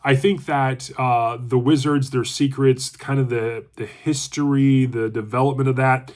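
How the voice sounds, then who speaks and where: A congested man hosting his own channel, giving a chatty, relaxed book review and thinking aloud as he goes. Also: mid-range voice, measured pace. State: congested from allergies. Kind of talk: casual book review talk.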